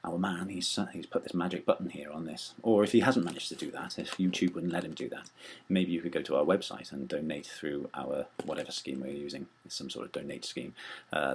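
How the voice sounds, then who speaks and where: Gravelly, low-voiced man making an informal appeal. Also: normal speed, a little hiss or hum. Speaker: theatre company presenter making an appeal.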